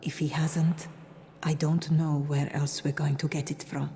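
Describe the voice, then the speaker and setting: A discreet, worried woman medic in an outbreak drama game. Her voice sits low and mellow, and she confides in a hushed, measured way. A faint noise lies behind her. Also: flat delivery. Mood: measured, confiding. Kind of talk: dramatic game cutscene acting.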